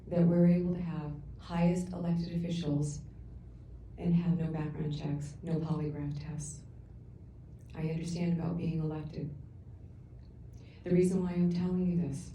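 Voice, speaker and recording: Low, dark-voiced middle-aged woman in echoey chamber. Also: a little hiss or hum. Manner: earnest, halting, emotional; loud voice. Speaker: public commenter at a council meeting. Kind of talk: public comment speech. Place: council chamber. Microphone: room sound system, picked up from afar. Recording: echoey council chamber from far off.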